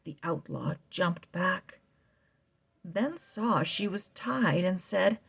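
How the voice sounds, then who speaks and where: A woman reading a children's novel aloud, slow and expressive, sometimes dropping to a soft whisper. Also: fairly low pitch, vocal fry, breathy edge. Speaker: read-aloud narrator.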